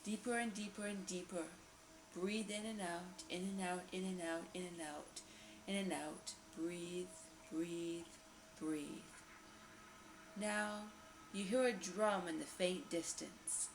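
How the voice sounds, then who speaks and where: A woman narrating a guided meditation visualization in a slow, repetitive, soothing manner with lingering pauses. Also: on the low side, fairly even intonation. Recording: roomy echo, faint background noise.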